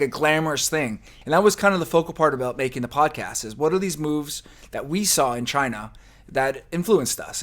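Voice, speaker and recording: Playful New Jersey man, slightly high voice. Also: fairly bright tone. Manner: playful, loose, with fillers. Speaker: podcast guest, restaurateur. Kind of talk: casual interview chat.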